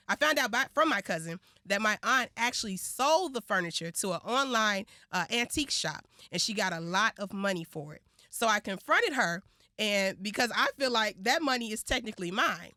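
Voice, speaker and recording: Bright, forceful American woman hosting radio. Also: medium pitch, no room sound, clean studio sound. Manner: informal, affirming. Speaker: radio show host. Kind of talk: casual radio interview.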